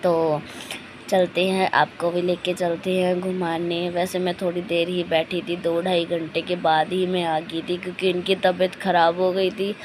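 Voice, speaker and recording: A young woman with a hoarse, sore-throated voice, chatting casually in a vlog voice-over and speaking straight to her viewers. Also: lowish voice, slight background noise, rough recording. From Ludhiana, India. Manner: chatty, addresses viewers directly. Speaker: family vlogger.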